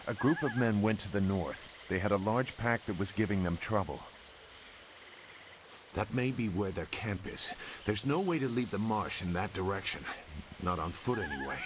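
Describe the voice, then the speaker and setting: A man playing a reluctant, world-weary, guarded loner warrior in a video game, speaking tersely. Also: on the low side. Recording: clear noise behind game dialogue.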